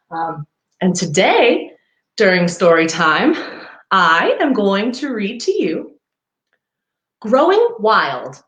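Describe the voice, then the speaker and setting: A woman hosting a children's story time, reading aloud expressively and addressing young listeners directly, in a roomy livestream recording. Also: on the low side. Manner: expressive, pausing to point out pictures to young listeners. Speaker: parks foundation outreach coordinator hosting story time. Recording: roomy, echoey livestream sound.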